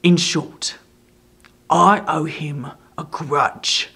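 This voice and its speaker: A man's voice: a little high, fairly even intonation, extremely slow, bright, forceful tone, breathy edge, heavily acted. A man voicing a spiteful, scheming dwarf who sneers and hisses his words with contempt. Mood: sneering.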